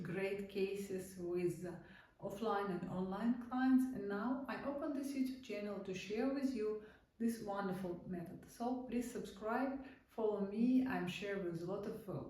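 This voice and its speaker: Woman, Russian accent, instructor, soft mellow tone, heard from a distance in a very echoey room. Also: mid-range voice. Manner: non-native English with broken grammar, halting restarts. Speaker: art-therapy instructor. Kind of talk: casual online lesson.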